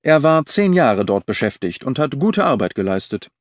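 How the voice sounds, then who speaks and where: A man reading the part of a well-informed government official giving a measured briefing in an audiobook. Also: medium pitch, sing-song, dry recording, studio quality, natural voice.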